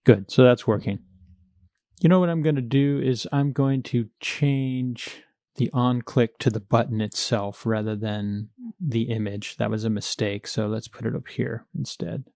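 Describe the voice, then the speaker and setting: A man's voice: medium pitch, a little slow, warm tone, no room sound, clean studio sound. A man narrating a step-by-step coding tutorial, informally thinking aloud as he works. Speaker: programming tutorial instructor.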